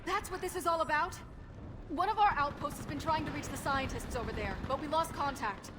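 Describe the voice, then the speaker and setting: A woman's voice: high-pitched, fairly quick, noisy background, close to an everyday voice. A woman playing a battle-hardened, defiant army lieutenant in a video game, urgent and commanding. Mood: tense, defiant. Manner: urgent, barking orders. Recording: music and gunfire behind the voice.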